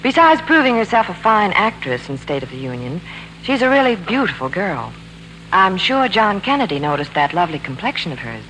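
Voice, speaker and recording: A woman's voice: on the low side, big pitch swings, a little fast, fairly bright tone. A woman reporter in scripted 1940s radio banter and advertising, polished and enthusiastic. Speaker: Hollywood reporter and product pitchwoman. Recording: noisy, humming 1940s radio broadcast.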